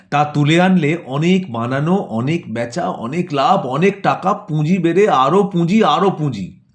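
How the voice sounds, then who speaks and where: A man tells a scripted satirical story. His delivery is rhetorical and measured, built on repeated phrases and short lists. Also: medium pitch. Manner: rhetorical, measured phrasing with repetition and listed words. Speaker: narrator of an audio play.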